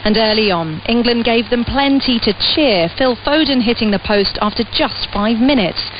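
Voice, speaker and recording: A woman sports correspondent delivering a scripted news report in a measured, polished way. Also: a little high, expressive. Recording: muffled shortwave radio with static.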